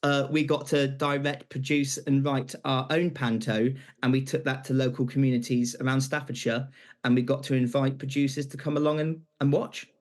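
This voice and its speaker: A young British man answering questions as a guest on live television, earnest and slightly halting. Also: medium pitch, flat delivery. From Britain. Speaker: interview guest, pantomime graduate.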